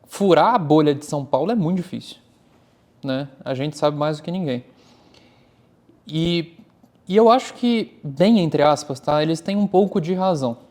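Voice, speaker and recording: A man's voice: a little high, moderate pace. A Brazilian man, a startup founder talking candidly and energetically as an interview guest. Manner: candid, animated, uses "cara" often. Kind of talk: casual interview talk.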